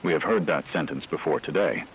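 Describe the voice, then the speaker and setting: A man playing a grave emergency radio announcer, reading scripted broadcast narration in a measured, formal, somber way. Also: extremely low pitch, a little hiss or hum. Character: grave male emergency radio announcer during global blackout.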